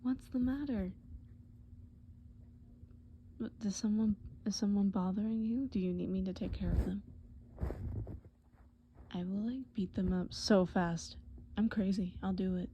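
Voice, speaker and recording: A woman voicing a tender, worried boyfriend in a comfort roleplay, breathy and often whispering, with halting, soothing speech. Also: medium pitch, steady tone, lo-fi sound, slight background noise, close to an everyday voice. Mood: worried, gentle. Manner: soothing, halting, pet names.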